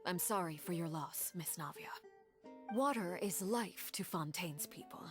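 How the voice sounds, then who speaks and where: A coolly courteous woman leader from a video game, played by an actress, speaking in a fairly low voice. She is formal, measured and authoritative, with music playing behind her. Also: natural voice. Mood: calm, composed.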